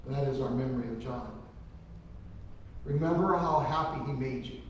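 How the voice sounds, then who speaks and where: A man's voice: medium pitch, normal speed, a little hiss or hum. A middle-aged man preaching at a funeral service, earnest, with folksy storytelling. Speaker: pastor. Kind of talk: funeral sermon. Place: large church sanctuary. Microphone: lectern microphone from a far camera. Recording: echoey church from far off.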